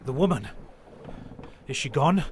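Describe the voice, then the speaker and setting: A man playing a frightened, anxious sailor in an audio drama, halting and urgent. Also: medium pitch, animated, slight background noise, lightly acted. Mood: shaken. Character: frightened male sailor, pleading, anxious survivor.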